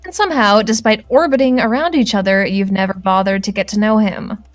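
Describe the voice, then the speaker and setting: A woman's voice: medium pitch, brisk, close to an everyday voice. A woman voicing a nosy, pushy female demon in a visual novel, with a sassy, slightly Valley Girl manner. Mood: pushy, exasperated.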